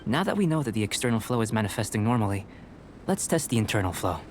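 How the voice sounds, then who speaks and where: A man voicing a calm, reserved young alchemist scholar in an anime game, speaking in a measured, precise way. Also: lowish voice, slight background noise. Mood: composed, instructive. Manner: measured, precise, composed, clinical.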